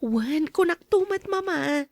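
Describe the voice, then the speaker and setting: A woman with an Ilocano accent playing a gentle, devoted young wife and mother in a radio drama. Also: sits high, lively intonation, slow pace, creaky, dry recording, studio quality, full character voice. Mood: cheerful, respectful.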